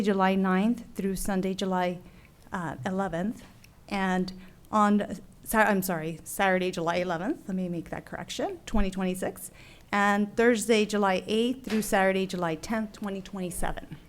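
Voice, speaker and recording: Woman formally reading a staff report. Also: medium pitch. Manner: formal, measured, reads from a script. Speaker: city staff deputy director.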